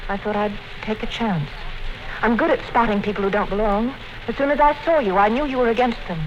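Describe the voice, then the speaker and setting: A woman's voice: on the high side, fairly quick, close to an everyday voice. An actress playing a secretly rebellious, pragmatic young woman of twenty-six in a 1950s radio drama. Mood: frank, lively. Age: young adult. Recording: dull, noisy 1950s radio recording.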